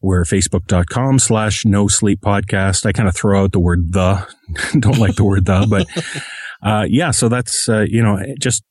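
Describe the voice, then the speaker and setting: Guy being interviewed, lowish voice, modest and self-deprecating, dry close sound. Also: moderate pace, a little mellow, husky, no room sound. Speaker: podcast host interviewed as guest. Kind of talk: casual interview conversation.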